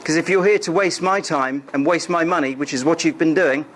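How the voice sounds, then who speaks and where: A man's voice: a little high, a little fast, a bit bright, slight background noise. A man, a student, angrily confronting a lecturer over his grade with accusatory ranting.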